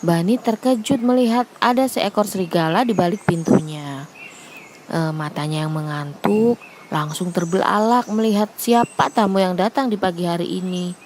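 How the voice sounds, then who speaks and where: A woman's voice: lowish voice, normal speed, a little mellow, a little hiss or hum. A woman narrating a scripted children's fable as a storyteller.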